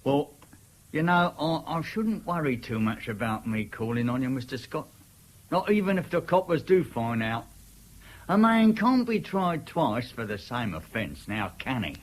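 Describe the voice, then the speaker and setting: An actor playing a wily, ingratiating ex-convict of fifty-five in a radio drama, with a husky voice and a chummy, deferential manner. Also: male voice, mid-range voice, sing-song, measured pace, rough recording. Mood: sly, familiar. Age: 50s. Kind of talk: naturalistic radio drama acting.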